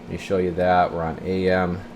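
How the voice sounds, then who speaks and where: A man's voice: deep voice, monotone, brisk, darkish tone, slight background noise. A man giving a casual, rambling hands-on demonstration as a radio repair technician, explaining things informally as he works at his bench. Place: indoor radio repair workbench.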